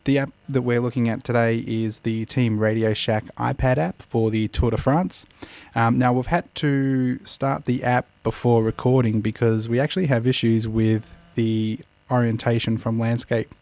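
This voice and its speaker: A man's voice: mid-range voice, steady tone, moderate pace, few pauses, darkish tone, dry recording. A man with an Australian accent hosts a casual review, explaining things in a hesitant way. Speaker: host of an app review show.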